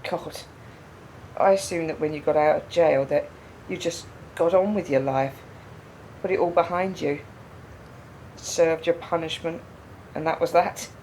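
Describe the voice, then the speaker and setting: An actress playing a quiet, pensive woman burdened by doubt in a radio play, speaking in a reflective, halting way. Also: deep voice, a little hiss or hum, poor audio. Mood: pensive, sad. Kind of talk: radio play dialogue, naturalistic.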